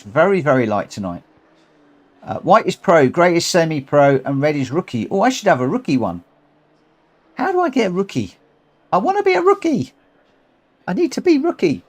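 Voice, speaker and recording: A man's voice: a little high, wildly swooping pitch, moderate pace. A man with a cold and a runny nose streaming a racing game, thinking aloud in rambling commentary and correcting himself as he goes. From United Kingdom.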